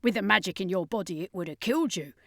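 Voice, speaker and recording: A woman's voice: medium pitch, quick pace, creaky, no echo, close to an everyday voice. Woman as brusque circus woman, angry, urgent, emphatic, dry close sound, audio adventure. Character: brusque, angry female explainer of doomed magic.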